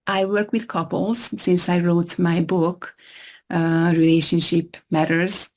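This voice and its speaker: A woman with a Hungarian accent who speaks English as her second language, answering as a therapist guest in an interview. She talks hesitantly, often restarting her sentences, in a somewhat low voice. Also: level tone, takes it slowly, a little mellow. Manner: hesitant, many restarts and fillers. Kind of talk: interview conversation.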